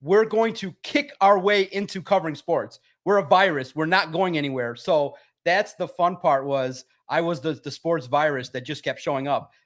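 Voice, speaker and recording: A man's voice: on the high side, normal speed, hardly any pauses, fairly bright tone. A man who co-hosts a sports podcast, talking emphatically and repeating phrases for emphasis as he promotes his work. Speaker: sports writer and podcast co-host. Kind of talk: animated podcast talk.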